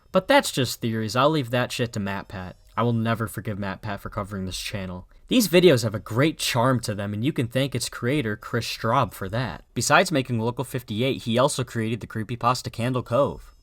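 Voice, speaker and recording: A man's voice: mid-range voice, dry recording, clean studio sound. A man narrating a scripted video review in an informal, sardonic, sweary way.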